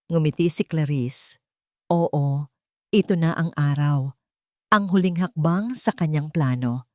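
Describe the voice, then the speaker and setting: Woman narrator, low voice, steady dramatic storytelling, dry close recording. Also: no echo. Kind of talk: scripted audiobook narration.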